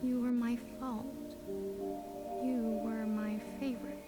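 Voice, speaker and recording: An actress playing a solemn, mournful young woman in a video game, with loud music underneath. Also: fairly high pitch, little pitch movement, takes it slowly. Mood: solemn, mourning.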